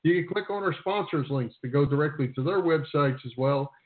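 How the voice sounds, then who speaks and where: A man's voice: a little high, a little fast. Male sports radio host, narrow phone-like sound. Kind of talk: conversational sports radio hosting.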